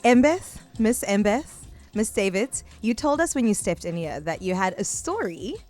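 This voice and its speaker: A South African woman co-hosting a radio interview in the studio, leaning in with eager, chatty questions. Her voice sits at an ordinary middle pitch. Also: very animated, moderate pace, a little mellow, soft background music. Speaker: radio co-host.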